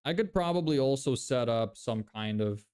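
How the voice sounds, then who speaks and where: A young man whose native tongue is Lithuanian talks loosely to his livestream audience. His voice sits at an ordinary middle pitch, and his thoughts wander through fillers and false starts. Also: animated, moderate pace, darkish tone, smooth. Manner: rambling, many fillers and restarts. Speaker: livestreamer reading chat. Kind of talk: casual livestream chat.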